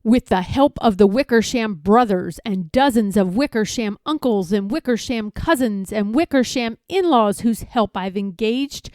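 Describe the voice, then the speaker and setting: Storybook kangaroo matriarch played by a woman: bossy, scornful, high voice with big swings. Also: darkish tone, dry recording, clean studio sound. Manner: snappish, haughty. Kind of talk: children's storybook read-aloud.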